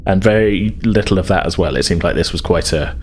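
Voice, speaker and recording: A man's voice: low voice, a little fast, darkish tone. A man acting as game master, narrating casually and improvising in a rambling, self-correcting way. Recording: faint background noise.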